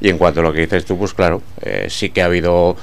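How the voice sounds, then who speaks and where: A man talking as a sports pundit in a casual panel, measured and agreeing with the others. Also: low-pitched, steady tone, gravelly.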